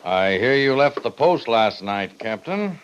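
An actor playing a stern, by-the-book army major in a 1950s radio western, giving orders formally and with authority. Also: male voice, mid-range voice, sing-song, a little slow, smooth. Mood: stern, official. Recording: muffled old radio recording.

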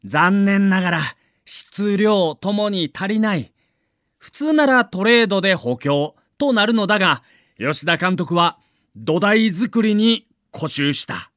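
A man's voice: sits high, animated, slow, fairly bright tone, no echo, studio quality. A man narrating a scripted documentary as a story, with dramatic emphasis.

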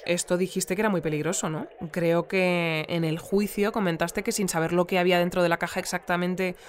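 A woman's voice: lowish voice, fairly even intonation, fairly quick, slight background noise, natural voice. A woman playing an earnest, easily flustered news bulletin presenter in an audio fiction. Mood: questioning. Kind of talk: fiction podcast news broadcast parody.

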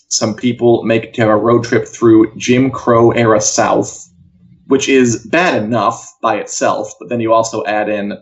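A man's voice: on the low side, highs cut off. A man co-hosts an entertainment news show, chatting casually with a wry, conversational manner.

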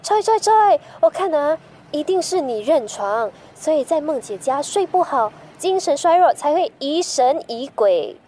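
An actress playing a chatty, timid young friend with a Singaporean accent, inquisitive and playful in a radio drama. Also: female voice, high-pitched, very animated, a little slow, dark tone, a little hiss or hum. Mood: spooked, teasing. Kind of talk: radio drama acting, conversational.

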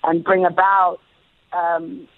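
A woman's voice: fairly low pitch, level tone, takes it slowly, no echo. A British-raised woman speaking as an interview guest, reflective and thoughtful, often restarting and repeating words as she thinks. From United Kingdom. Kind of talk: casual interview talk. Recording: phone-like narrow sound.

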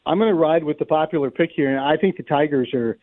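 A man's voice: a little high, quick pace. Middle-aged man on a tinny phone line. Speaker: baseball play-by-play announcer, radio guest. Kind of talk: unscripted sports analysis in a live radio interview. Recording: roomy, thin narrow-band phone line.

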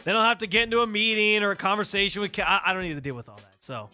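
A young man hosting casual sports talk radio, bantering quickly and poking fun at himself. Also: high-pitched, animated, bright, forceful tone. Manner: quick, self-deprecating banter. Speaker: radio host. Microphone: studio microphone.

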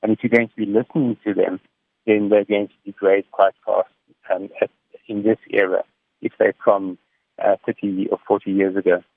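A middle-aged man gives measured, explanatory answers in a reflective radio interview over the phone. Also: lowish voice, flat delivery, gravelly, husky, no room sound. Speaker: interviewed music technology commentator. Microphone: phone call. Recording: tinny, narrow phone line.

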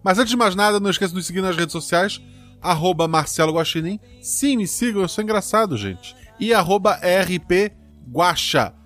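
A man hosting a tabletop game podcast as game master, narrating in a relaxed, joking way. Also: high-pitched, normal speed, faint music behind.

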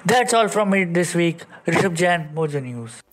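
High-voiced male sports reporter. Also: expressive, moderate pace. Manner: read-out bulletin delivery.

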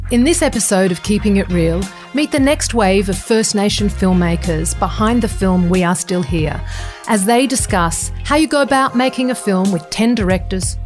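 Podcast presenter: woman, scripted intro read, medium pitch, music behind. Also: a little mellow.

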